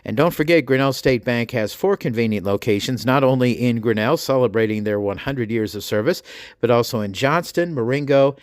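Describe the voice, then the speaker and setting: A man's voice: mid-range voice, brisk, no room sound. Man, American accent, podcast host, folksy, chatty, dry sound. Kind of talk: sponsor reads and conversational interviewing.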